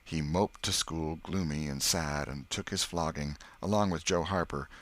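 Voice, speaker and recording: A man's voice: very deep voice, normal speed, a bit bright. A man with an American accent narrating a classic novel as an audiobook storyteller.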